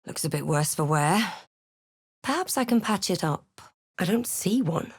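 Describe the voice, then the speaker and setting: A woman playing a wry, guarded adventurer hero in a fantasy game, speaking and narrating in a measured, understated, dryly sardonic way. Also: lowish voice, lively intonation, breathy edge, no echo, exaggerated voice. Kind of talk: scripted game voice lines, part first-person narration.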